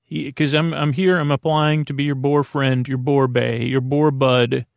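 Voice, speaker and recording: Creaky-voiced man, soothing and rambling. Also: medium pitch, flat delivery, no room sound. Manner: meandering, tangent-prone, halting with stammered self-corrections; soothing, lulling. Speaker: podcast host. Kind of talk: rambling bedtime sleep podcast monologue.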